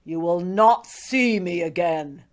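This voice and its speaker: A woman voicing a lonely, sorrowful male creature who cries out loudly and reproaches his maker in a storybook. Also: on the low side, slow. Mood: bitter, sorrowful. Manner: loud, pleading and accusing cries. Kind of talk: storybook character voice.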